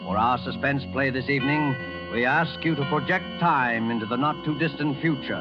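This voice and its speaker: A man hosting in a formal 1940s radio announcing style, theatrical and measured. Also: mid-range voice. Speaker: announcer and host. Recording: muffled old radio broadcast with music.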